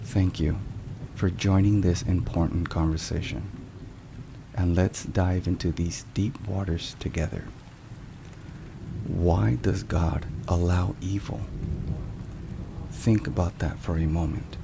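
A man with a low, creaky voice narrates a devotional in ASMR style. He speaks solemnly and very slowly, setting down each phrase with care. Rain and thunder run behind him throughout. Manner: solemn, measured, deliberate phrasing. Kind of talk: ASMR scripted narration, soft-spoken devotional talk. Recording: steady rain and thunderstorm noise.

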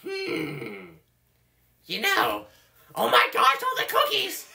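A cocky bird gang leader from a game, as a man puts him on, talking in a very high, bright, gravelly squeak. He swoops up and down and throws out smug insults. It is a loose comedic fan dub. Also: wildly swooping pitch, full character voice. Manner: smug, bossy, quick to insult.

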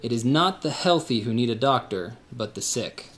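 A man acting in a church radio play, calm and gently teasing. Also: mid-range voice, moderate pace.

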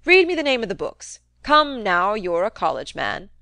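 A woman voicing a cantankerous, domineering old country man who talks in a loud, emphatic, wheedling way. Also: mid-range voice, sing-song, moderate pace, no room sound, studio quality.

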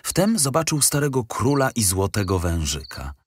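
A man narrating a fairy tale from a script in an expressive, dramatic storytelling manner. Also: on the low side, normal speed, slight background noise. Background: music.

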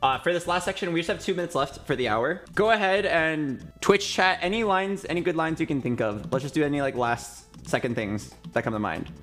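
A man's voice: on the high side, brisk, few pauses, fairly bright tone. A man in his twenties hosting a casual live stream, chatting at his desk in a small room. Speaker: streamer host. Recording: game music under the voice. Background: video game music.

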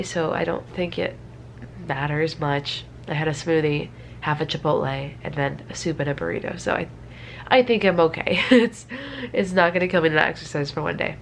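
A woman's voice: lowish voice, normal speed, vocal fry. A woman in her twenties with a stuffy, congested nose, chatting casually and in a rambling, self-deprecating way to camera. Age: 20s. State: congested from a cold. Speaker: vlogger. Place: small bedroom indoors. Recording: faint background room noise.

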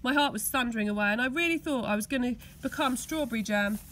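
High-voiced English woman reading a story. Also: fast, a bit bright, a little hiss or hum. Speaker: school teaching assistant reading aloud. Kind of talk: expressive children's storybook narration. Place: outdoors on grass.

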